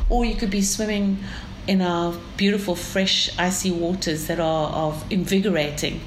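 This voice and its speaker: A woman's voice: lowish voice, steady tone, normal speed. A woman from South Africa with a South African accent, describing her city as an interview guest in an enthusiastic, storytelling way. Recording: echoey room with faint ambient noise.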